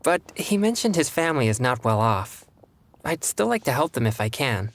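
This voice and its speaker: A man voicing a reserved teenage boy exorcist in a video game, earnest, measured and formal in manner. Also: medium pitch, big pitch swings. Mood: earnest, calm.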